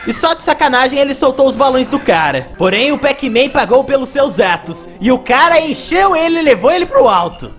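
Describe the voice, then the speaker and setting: A woman's voice: sits high, very animated, a little fast, few pauses. A woman with a Brazilian accent narrating video game play as a reviewer, with music behind. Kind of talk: gameplay commentary narration.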